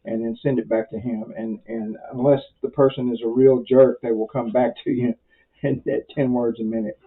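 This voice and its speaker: A man giving an informal beginner tutorial in a folksy, rambling way, halting often with pauses. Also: mid-range voice, level tone, breathy edge. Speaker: amateur radio instructor. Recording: very muffled, dull lo-fi audio.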